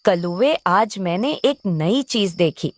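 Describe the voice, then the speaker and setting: A woman's voice: fairly low pitch, animated, slow, no room sound. Woman as an envious, complaining crow wife, close dry sound. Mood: coveting. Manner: complaining, coaxing. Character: irritable, envious female crow villainess, a wife. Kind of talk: children's cartoon voice acting.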